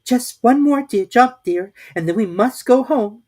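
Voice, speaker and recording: Storybook mother kangaroo, voiced by a woman: high, doting, preoccupied, sing-song with wide swings in pitch. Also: lively intonation, a little slow, warm tone, slightly rough. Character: fussy motherly female kangaroo, gently preoccupied.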